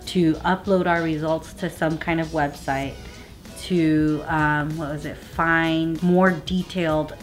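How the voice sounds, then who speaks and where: The woman on a couple's video blog, low-voiced and teasing, chatting and reading things out over a soft music bed. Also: fairly even intonation, slow pace. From Vietnamese family. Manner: playful, teasing. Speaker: vlog co-host. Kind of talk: casual chat, reading results aloud.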